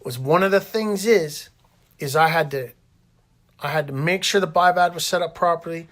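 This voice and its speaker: A man's voice: on the high side, normal speed, fairly bright tone. Rambling Canadian man telling stories casually. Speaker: interviewer and host recounting own hospital stay. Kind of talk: casual conversational storytelling.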